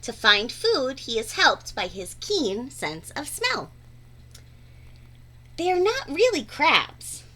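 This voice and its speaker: Bright-voiced woman reading to children, very animated. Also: high voice, slow pace. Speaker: read-aloud storyteller. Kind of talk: children's picture book read-aloud.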